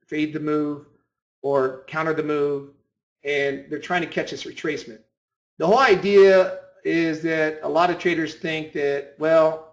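A man giving an informal instructional talk, explaining emphatically with repetition and rhetorical questions. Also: fairly high pitch. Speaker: trading instructor. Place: voice-over on a screen recording.

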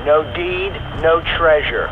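A man's voice: on the high side, slow, narrow, tinny audio, noisy background. A man playing a threatening, gloating villain boss in a children's adventure serial. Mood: menacing.

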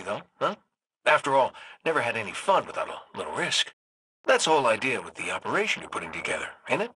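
An actor playing a cocky, wisecracking robot gunslinger in a video game, with an American accent and a wry, folksy, sardonic way of talking. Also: male voice, mid-range voice, sharp tone, slightly rough, no echo, full character voice, poor audio. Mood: teasing. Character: cocky wisecracking male robot gunslinger, roguish hunter leader. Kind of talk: video game voice acting, recorded farewell messages.

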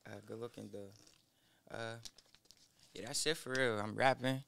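A nineteen-year-old man, a rapper guest in a small studio, speaking haltingly into a boom mic with many fillers. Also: fairly even intonation, creaky, fairly bright tone, a little hiss or hum. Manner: halting, mumbled, full of fillers. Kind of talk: casual interview. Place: small studio with foam wall. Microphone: studio mic on boom arm, headphones.

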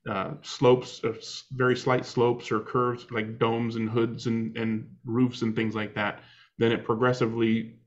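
A man hosting a hobby video chat, explaining things in a measured way. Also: mid-range voice, level tone, dull audio. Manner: explanatory, measured, laughs easily. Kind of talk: casual video call chat. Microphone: video call.

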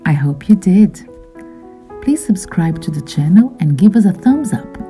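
A woman reads a picture book for small children at a very unhurried pace, with a strongly varied, swooping melody. Her voice is soft-edged and mellow. She phrases things simply and encouragingly, like a lesson for beginners. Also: fairly low pitch, big pitch swings, soft background music. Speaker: host reading children's books aloud. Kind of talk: children's read-aloud with simple French vocabulary teaching.

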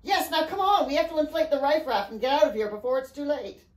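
A woman acts a storybook father taking charge in danger. The orders are urgent and commanding, pitched up and forceful in delivery. The sound is echoey. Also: animated, a little fast. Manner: shouted orders. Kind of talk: dramatic storybook reading. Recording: roomy, echoing space.

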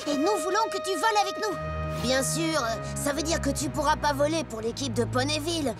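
Cocky pony team captain, high voice, smooth talking recruiter, cartoon music behind. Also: female voice, animated, brisk. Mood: persuasive. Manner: assured, persuasive. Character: self-assured female cartoon pegasus team captain, blunt and persuasive. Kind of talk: French cartoon dub acting. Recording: music bed under the dialogue.

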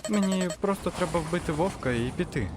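A man's voice: high voice, expressive, brisk, music in the background, voice pushed up. A man voicing a wary male hunter who tracks a killer wolf and talks to himself in a hesitant way. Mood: uneasy. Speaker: voice actor in a fan dub. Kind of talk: dubbed analog horror narration.

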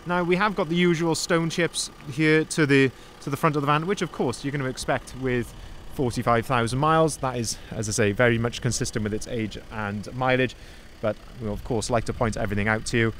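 A man's voice: medium pitch, steady tone, fairly quick, few pauses, fairly bright tone, slight background noise. A young man, a van sales manager, doing an upbeat walkaround review, recorded on a handheld phone outdoors at a dealership lot. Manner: upbeat salesman pointing out features and flaws. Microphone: handheld phone camera, filming first-person.

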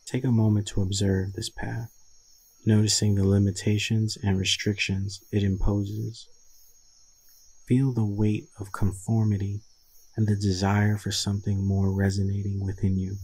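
A man leading a guided meditation in a soothing, deliberate manner with long pauses and a husky voice. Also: lowish voice, level tone, darkish tone, no room sound, rough recording.

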